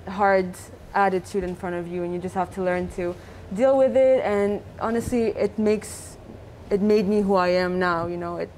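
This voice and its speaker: A woman's voice: mid-range voice, moderate pace, darkish tone, a little hiss or hum. A young woman from Lebanon, a student chef, talking candidly about kitchen work on a live cooking show. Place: large teaching kitchen studio.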